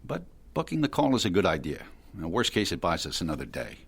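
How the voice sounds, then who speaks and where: A cool tech dealmaker with a low, husky voice. He is blunt and condescending yet controlled, moving his pitch around a lot as he drives a hard bargain in an audio drama. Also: wildly swooping pitch, quick pace, exaggerated voice. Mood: guarded, businesslike.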